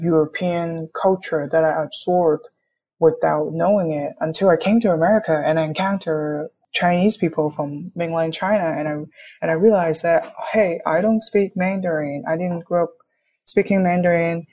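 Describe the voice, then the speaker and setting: Low-pitched young woman from Hong Kong. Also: steady tone, moderate pace, mellow, slight background noise. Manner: explanatory, often checks with "right?". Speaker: artist, interview guest. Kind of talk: conversational interview, reflective.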